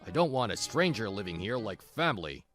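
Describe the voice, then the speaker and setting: A stingy older father-in-law as an actor plays him, pompous and contemptuous, with lively pitch swings. It is a manga drama, with music behind him. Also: brisk. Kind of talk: manga dub drama acting.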